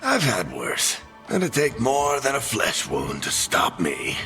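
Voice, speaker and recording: A roguish middle-aged mentor in a fantasy game, tough and wry. He talks slowly and folksily, with big swings in pitch, over faint music. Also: huge pitch swings, sharp tone, heavily acted. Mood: wry, reassuring. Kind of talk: cinematic fantasy game voice acting.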